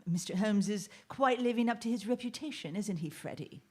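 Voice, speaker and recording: A woman playing a genteel, polite lady in a Victorian radio mystery, with theatrical and sometimes halting speech. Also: mid-range voice, lively intonation, darkish tone, breathy edge. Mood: affected, polite. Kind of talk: radio drama acting.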